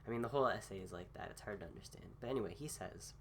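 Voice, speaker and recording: A man recovering from a cold gives a casual philosophy talk with many pauses, fillers and restarts. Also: lowish voice, a little hiss or hum. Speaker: host.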